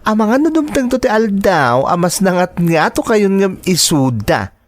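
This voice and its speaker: A woman with an Ilocano accent playing a breezy, chummy young student friend in a radio drama, expressive and drawing out her words. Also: mid-range voice, measured pace, no room sound, clean studio sound. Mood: consoling.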